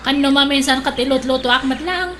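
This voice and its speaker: A sharp-tongued young woman, played by an actress, grumbles and argues in a radio comedy. Her voice sits high and bright over light noise. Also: brisk. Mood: grumbling. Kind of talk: Ilocano radio drama acting.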